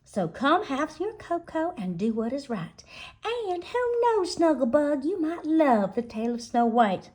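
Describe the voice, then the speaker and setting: Storybook mama cat, acted by a woman: highish, doting yet firm, drawn-out coaxing lines. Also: very animated, darkish tone. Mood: coaxing, chiding. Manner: coaxing, singsong, pet names.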